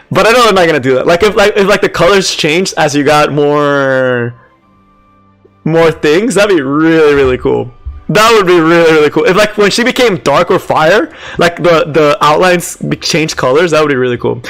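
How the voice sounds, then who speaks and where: Man, raspy strained voice, fairly high-pitched, casual streamer chat, subdued near-monotone, lots of fillers. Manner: chatty, answers chat, many "like" fillers. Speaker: game streamer. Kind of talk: casual live-stream patch review.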